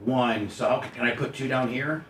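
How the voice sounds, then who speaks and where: A man's voice: mid-range voice, fairly even intonation, a little fast, distant mic, a crowd in the background. A man talking casually and off the cuff as a radio host, with a blunt manner. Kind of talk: casual off-the-cuff studio chat. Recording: roomy, off-mic, faint noise and clicks.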